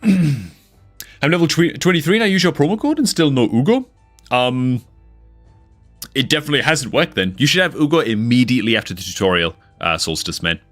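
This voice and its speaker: A young gaming streamer from northeast England, his accent somewhat mixed, talks in a fairly low voice and rambles casually with plenty of fillers. Faint music plays under his chat. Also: fairly bright tone. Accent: northeast English, near Newcastle, mixed.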